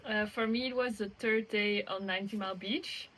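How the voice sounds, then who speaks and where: A young woman from Belgium with a mid-pitched voice. She answers in a soft-spoken, measured way and laughs lightly now and then. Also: fairly even intonation, rough recording. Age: 20s. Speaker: interviewee hiker.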